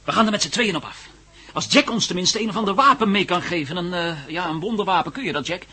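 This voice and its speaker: Flustered eager guy in a radio drama, high husky voice, stumbles over words. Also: fast talker, full character voice. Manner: stammering, hesitant, excitable. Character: eager, flustered, hesitant adventurer explaining himself. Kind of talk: Dutch radio play acting.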